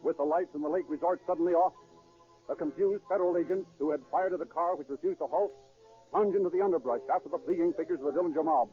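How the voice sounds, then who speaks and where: Male narrator, slightly high voice, dramatic, thin vintage radio sound, old-time delivery. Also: quick pace, soft background music. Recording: tinny, phone-like 1930s radio recording.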